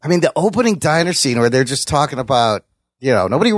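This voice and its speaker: A man's voice: medium pitch, big pitch swings, fast, no echo, studio quality. A middle-aged man chatting casually as a podcast co-host, enthusiastic and crude, swearing freely. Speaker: podcast co-host reviewing films. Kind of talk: casual chat.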